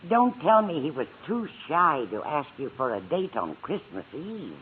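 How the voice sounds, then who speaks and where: A woman's voice: low voice, big pitch swings, normal speed, slightly rough, heavily acted. An actress playing a kindly, chatty, motherly older landlady in a 1950s radio sitcom. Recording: tinny, hissy old radio broadcast.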